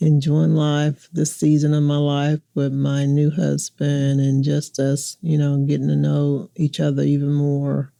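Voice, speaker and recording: A woman in her sixties chats casually and reflectively as an interview guest. Her voice is deeply mellow and relaxed, with plenty of creak. The sound is dry and close. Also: a little slow, hardly any pauses, dry recording. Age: 60s.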